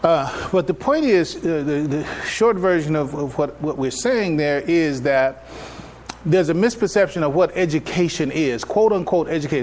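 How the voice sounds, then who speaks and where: A man giving a religious lecture as an imam, conversational and halting, repeating phrases and checking in with his listeners. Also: fairly high pitch, a little hiss or hum. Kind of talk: religious lecture, explaining to an audience.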